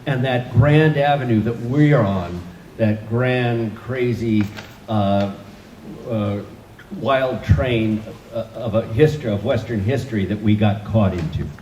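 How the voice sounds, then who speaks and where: A man's voice: fairly low pitch, slow pace, darkish tone, echoey room, highs cut off, slight background noise. A man, an author and guest from Northern California, talking onstage in an easy, joking way with many fillers. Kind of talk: onstage conversation. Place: event hall with an audience.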